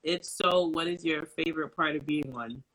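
A woman hosting a casual interview, expressive and quick to affirm what her guest says. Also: deep voice, low-quality recording. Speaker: interview host. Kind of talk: casual chat, reading questions.

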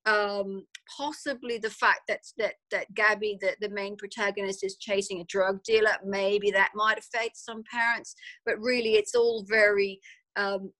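Australian woman, author guest, chatty and rambling, mid pitch. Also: steady tone, moderate pace, sharp tone, low-quality recording. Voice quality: chatty, repeats words often, many fillers. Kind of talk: casual interview answers.

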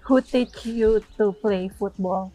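A woman's voice: medium pitch, slow, warm tone, faint music behind, poor audio. A woman interviewing a young footballer, asking short questions and humming along as he answers. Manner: short prompts and backchannel hums.

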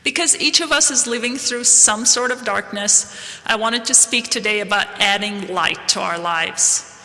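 A woman, from Palestine, giving a slow, measured, earnest devotional address to a congregation. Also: mid-range voice, bright, forceful tone. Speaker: guest speaker at a church devotional. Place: large historic hall. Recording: long reverb of a large hall.

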